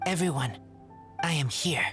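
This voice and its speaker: Low, halting wild boy. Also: lively intonation. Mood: earnest. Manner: blunt, halting, broken short phrases. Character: earnest feral boy raised in the wild. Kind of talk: anime game voice acting. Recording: music behind the voice. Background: prominent game music.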